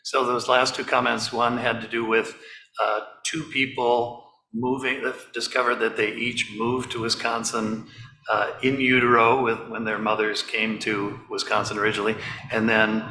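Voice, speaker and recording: A man giving an informal talk to a group, relaxed and halting, with many fillers. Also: medium pitch, a little hiss or hum. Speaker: speaker leading a group talk. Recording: echoey room.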